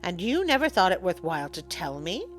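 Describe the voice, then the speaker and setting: Woman as a bossy little mongoose hero, brisk. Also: on the low side, big pitch swings, soft background music. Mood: exasperated, commanding. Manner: brisk, scolding.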